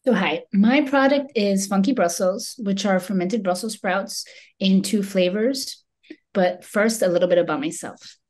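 A woman's voice: mid-range voice, measured pace, darkish tone. A woman from Belgium who owns a small food business, giving a prepared presentation on a video call. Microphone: video call microphone.

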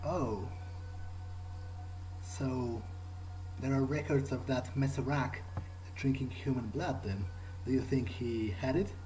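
A man's voice: medium pitch, takes it slowly, long pauses, mellow, slight background noise, low-quality recording. A man improvising in character during a video game, conversational and questioning, with hesitant phrasing. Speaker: let's player roleplaying in character.

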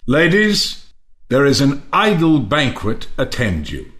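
A man's voice: mid-range voice, huge pitch swings, takes it slowly, a bit bright, heavily acted. A man acting a grand, swooping-voiced gracious lord. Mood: courtly warmth. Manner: courtly, theatrical. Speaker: actor in an amateur play reading. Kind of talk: unrehearsed Shakespeare audio play reading.

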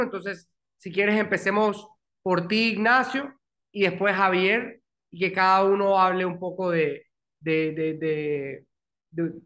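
Halting man hosting a video call. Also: high voice, bright, forceful tone. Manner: halting, many restarts and repeated words. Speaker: podcast host. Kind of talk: casual video-call interview. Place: small room at home, indoors.

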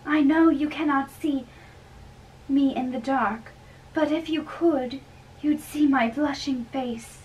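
A woman plays a worried, bashful young noblewoman in love. The voice is high and girlish, in an amateur play reading recorded at a distance from the microphone. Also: slow pace, darkish tone, slight background noise. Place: small bedroom, indoors. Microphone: far camera.

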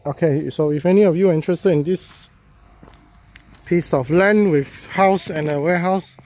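A man, a real estate agent walking through a property and talking casually, halting and repeating himself with many fillers as he goes. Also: on the high side, a little mellow. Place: walking through a house and yard, in and out of doors.

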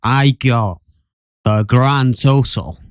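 A man's voice: mid-range voice, extremely slow, clean tone, no echo. A young man chats as a podcast co-host. He takes his time, drawing words out with mock solemnity. His mid-range voice is recorded dry, with no room around it. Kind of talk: casual podcast chat.

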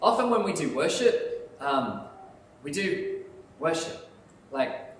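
A man preaching a sermon in a church sanctuary, speaking in an animated way with lots of emphasis. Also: on the high side, takes it slowly, a little hiss or hum. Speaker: pastor. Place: church sanctuary, in front of altar. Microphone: lapel mic, filmed by far camera. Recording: echoey church hall from far off.

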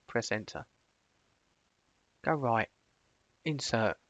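A man presenting a screencast software tutorial, switching between casual explanation and clipped spoken commands. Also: mid-range voice, lively intonation, slow pace, no room sound, rough recording.

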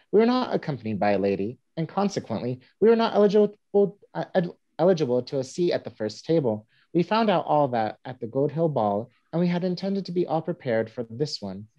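A man's voice: mid-range voice, lightly acted. A man playing a pompous, comically wounded newspaper humorist in a mock-grand stage monologue. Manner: mock-grand, animated delivery. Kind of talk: theatrical reading of a humorous monologue. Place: indoors at home on a video call. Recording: dull video-call audio.

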